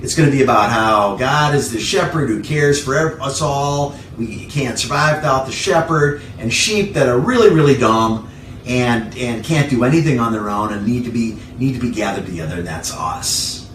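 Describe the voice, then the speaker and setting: A man's voice: mid-range voice, moderate pace, a bit bright. A man giving an informal sermon as a pastor, conversational, repeating key phrases for emphasis. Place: living room at home. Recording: echoey room, distant mic, faint noise.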